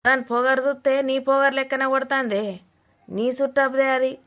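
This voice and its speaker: A scolding old village grandmother, played by a woman, speaks in a high voice with very little melody. Her Telangana accent is earthy and informal, and she is bluntly mocking in village comedy cartoon style. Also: steady tone, moderate pace, a bit bright.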